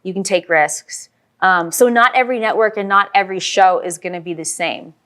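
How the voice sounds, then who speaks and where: A woman's voice: mid-range voice, brisk, a bit bright. A Canadian woman in her thirties explaining things in a casual interview, peppering her talk with "like" and "kinda". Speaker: guest, acting teacher and filmmaker.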